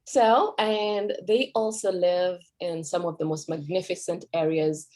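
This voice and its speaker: A woman's voice: on the low side, steady tone, measured pace, a little mellow. A Kenyan woman whose first language is Kikuyu, giving a conversational talk at a cultural event as a Swahili teacher, with fillers and self-corrections.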